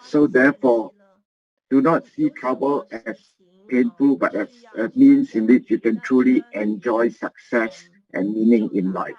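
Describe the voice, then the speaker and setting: Earnest man giving a halting motivational talk. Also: medium pitch. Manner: earnest, halting, repeats phrases. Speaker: business presenter. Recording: muffled lo-fi recording.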